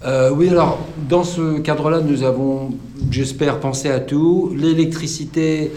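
A man's voice: fairly high pitch, fairly even intonation, unhurried, warm tone, close to an everyday voice. A man playing an earnest, self-satisfied engineer in a satirical radio fiction, speaking in a hesitant, defensive, stammering way. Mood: defensive pride. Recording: echoey room with crowd murmur.

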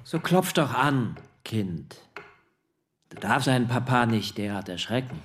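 A man's voice: medium pitch, sing-song, a little slow, background noise. An actor playing an agitated, exasperated father in a radio drama, emotional and given to repeating himself. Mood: alarmed. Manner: emotional, repetitive.

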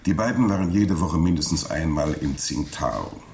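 An actor playing a wry, officious police inspector in a radio drama, hesitating and leaning on stock phrases. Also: male voice, very deep voice, expressive, normal speed, gravelly, a little mellow, a little hiss or hum, full character voice. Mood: wry, confiding. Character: dry, evasive male police inspector, wry and brisk. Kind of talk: 1980s German radio drama acting.

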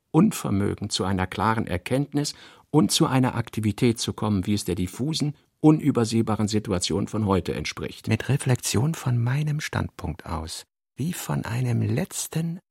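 Brooding novelist narrator for a literary radio play: gravelly, rough-edged, deliberate, reading out working notes. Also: male voice, on the low side, expressive, a little mellow, dry recording, studio quality, full character voice.

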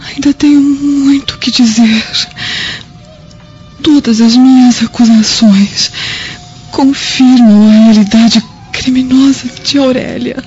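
An actress in a radio drama playing a dying, embittered mother raving on her deathbed in halting, anguished speech. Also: high voice, fairly even intonation, mellow. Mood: feverish, confessional. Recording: muffled lo-fi radio drama with music.

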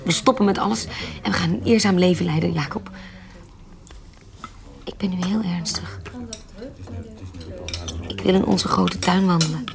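An actress playing an anxious, scheming former baroness with second sight in a historical radio drama, grave and urgent. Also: female voice, lowish voice, normal speed, creaky, long pauses, crowd noise. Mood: grave, pleading.

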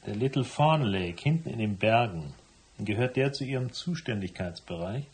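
An actor playing a cool, laconic private investigator who narrates his case in a radio drama, with a husky voice. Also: medium pitch, lively intonation, normal speed, no room sound. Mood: matter-of-fact. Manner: laconic, matter-of-fact.

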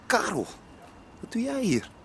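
A reluctant, hot-headed young man in a radio drama. He is tense and exclaiming, his pitch swinging widely, with faint noise behind him. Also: wildly swooping pitch, a little slow, vocal fry, a bit bright, heavily acted. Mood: startled, worried. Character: agitated gangster's son, reluctant and hot-headed. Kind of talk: Dutch radio drama acting.

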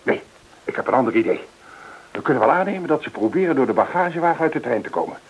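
Resourceful rescuer; creaky, lively intonation, old radio drama recording. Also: male voice, mid-range voice, fast, dull audio. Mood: concerned, decisive. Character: resourceful man calmly planning a rescue. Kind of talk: old radio play acting.

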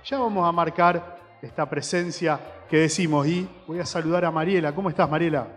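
A man's voice: on the high side, fairly quick, big-room sound, faint music behind. An Argentine man from Rosario hosting a live community radio broadcast outdoors at a festival, chatty and joking. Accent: Rosario Argentine Spanish. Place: outdoor festival grounds, stage nearby.

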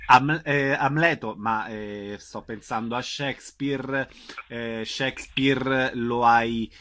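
A man asking interview questions on radio in a measured way, pausing between phrases. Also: mid-range voice, fairly even intonation, fairly bright tone.

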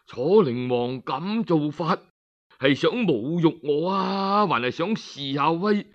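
A man's voice: a little high, lively intonation, slow, lo-fi sound. A man with a Cantonese accent voicing a dignified, humble visiting ruler in a historical story, formal and exclamatory. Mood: startled, uneasy.

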